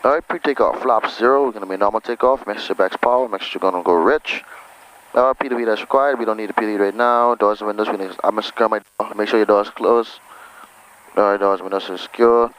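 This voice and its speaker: Male pilot-instructor, slightly low pitch, casual and reassuring, heard through a cockpit headset with aircraft noise. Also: fairly even intonation, fast talker, a bit bright. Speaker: pilot giving flight instruction. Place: small plane cockpit in flight operations. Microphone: aviation headset. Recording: noisy aircraft cockpit intercom.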